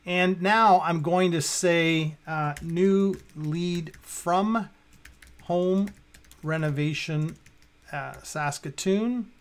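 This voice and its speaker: A man in his fifties with a fairly high voice presents a software walkthrough. He speaks casually, talking himself through each step as he works on screen. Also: very slow, clear, resonant tone. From Canada. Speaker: tutorial presenter. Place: indoors, at a computer.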